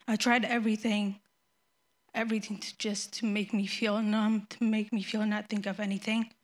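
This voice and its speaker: A young woman from Ethiopia giving a nervous, halting personal faith testimony before her baptism, often restarting her sentences. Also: medium pitch, flat delivery. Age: young adult.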